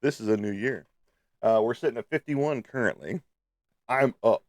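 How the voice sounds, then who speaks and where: A man's voice: mid-range voice, normal speed, a little mellow, dry recording, studio quality. A man with a slightly stuffy nose, chatting casually and playfully to viewers as a livestream host. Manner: playful, teasing, peppered with sung sound effects.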